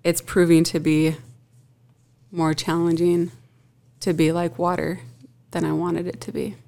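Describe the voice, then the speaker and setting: A woman talking in a relaxed, reflective way in a casual group chat, often breaking into laughter. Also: fairly low pitch, measured pace, gravelly.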